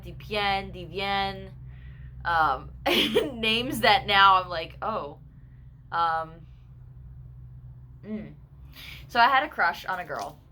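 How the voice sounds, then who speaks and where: Bright-voiced chatty young woman. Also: on the low side, slight background noise. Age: 20s. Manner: chatty and candid, with quick asides and self-corrections. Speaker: vlogger. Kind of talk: casual personal storytelling.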